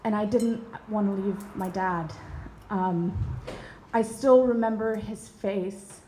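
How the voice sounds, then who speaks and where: A woman in her thirties from Bosnia and Herzegovina tells a personal story, earnest and measured, with soft pauses and hesitations. Her voice is warm and mellow, and it rings a little in an echoey room. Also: medium pitch, slight background noise. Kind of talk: personal story told to an audience.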